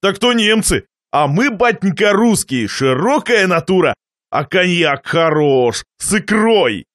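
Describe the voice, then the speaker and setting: A man performs a shameless, jovial glutton from a satirical storybook. The delivery is pompous and expansive, with very animated pitch. Words are savoured and handed out like little lectures. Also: unhurried, no echo, studio quality, exaggerated voice. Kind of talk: dramatised reading of a satirical short story.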